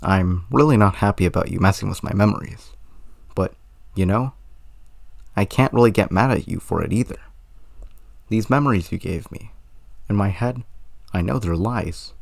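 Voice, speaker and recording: Kind-hearted game hero, male fan dubber: teenage boy, reassuring, low, dry sound. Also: creaky, no room sound. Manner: sincere, reassuring. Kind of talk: amateur fan dub of game cutscene.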